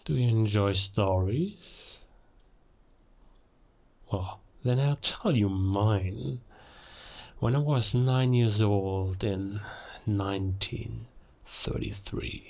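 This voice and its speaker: A man playing a menacing, brooding werewolf, speaking deliberately in a cinematic audio drama monologue. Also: lowish voice, creaky, darkish tone, heavily acted. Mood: brooding, bitter. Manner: slow, deliberate, brooding, theatrical. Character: menacing werewolf man savoring freedom, recounting his bitter childhood.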